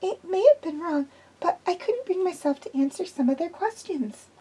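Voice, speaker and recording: A woman voicing a nervous, jumpy young woman who speaks haltingly. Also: extremely high pitch, lively intonation, dark tone, voice pushed up, poor audio. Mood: anxious. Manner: anxious, halting.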